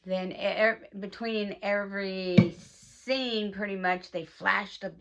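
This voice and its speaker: A woman's voice: medium pitch, steady tone, slow pace, a bit bright. A woman co-hosting a casual review chat, giving brief, hesitant answers. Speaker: co-host.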